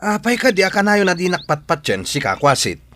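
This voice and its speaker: A man's voice: sits high, very animated, brisk, a bit bright, slight background noise. A man acting as a teasing, blustery village man in an Ilocano-accented comedy radio drama, needling and exclaiming. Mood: teasing, grumbling.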